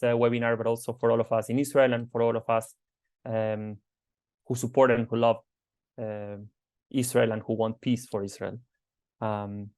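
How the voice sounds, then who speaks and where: Earnest accented man with a smooth voice. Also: mid-range voice, darkish tone. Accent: non-native English. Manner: earnest, halting with many fillers. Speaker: advocacy team member. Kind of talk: personal testimony on a webinar. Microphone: conference call microphone.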